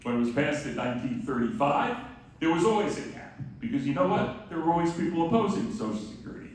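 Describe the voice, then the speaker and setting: A man's voice: fairly high pitch, lively intonation, fast talker. Echoey, projecting man addressing a town hall. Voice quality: loud, projecting voice. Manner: emphatic, rhetorical questions answered himself. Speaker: guest speaker from an advocacy group. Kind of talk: public speech at a town hall. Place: large echoey room at a public meeting. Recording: echoey hall, distant mic, faint noise.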